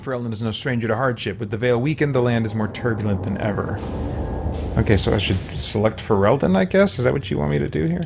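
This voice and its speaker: A man's voice: mid-range voice, quick pace, gravelly, noisy background. A man hosting a let's play, thinking aloud and reading game text as he goes.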